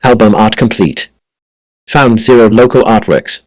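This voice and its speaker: A man's voice: lowish voice, animated, a little slow, dry recording, rough recording. A synthetic male voice reading out automated status announcements as a computer assistant.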